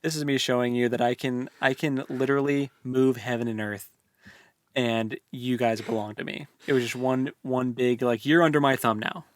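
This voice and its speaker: Male co-host, medium pitch, dry sound, informal conversational delivery with paraphrased asides. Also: fairly even intonation, moderate pace, dry recording. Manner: informal, acts out paraphrased lines in passing. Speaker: book discussion podcast co-host. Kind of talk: casual book chat.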